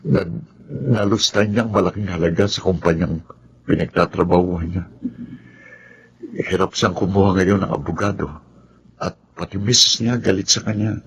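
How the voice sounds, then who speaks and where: Troubled young man, low and gravelly. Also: normal speed, pauses often, slight background noise. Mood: reluctant, respectful. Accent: Filipino. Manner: hesitant, confessional, respectful. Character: troubled, soft-spoken young male lawyer with a secret. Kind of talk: Filipino radio drama acting. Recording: music behind the voice.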